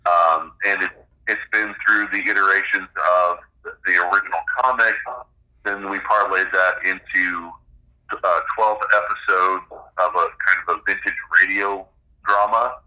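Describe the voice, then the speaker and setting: A man's voice: low-pitched, steady tone, moderate pace, sharp tone, rough recording. A middle-aged man explaining his own creative work as a guest in a casual interview. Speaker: interview guest, game designer and author.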